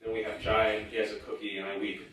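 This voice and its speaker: A man's voice: lowish voice, completely flat delivery, fast talker, fairly bright tone, slight background noise. A man from California whose first language was Urdu, giving a humorous, self-deprecating personal speech at a campus event. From California, United States. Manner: joking, self-deprecating storyteller. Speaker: guest speaker at a campus event. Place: large lecture hall. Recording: echoey lecture hall, distant mic.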